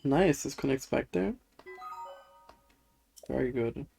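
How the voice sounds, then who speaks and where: A man with a creaky, fry-laden voice commentates casually as he plays a video game. He rambles and thinks aloud, often poking fun at himself, with faint game music behind him. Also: medium pitch, slow, warm tone. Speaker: game streamer.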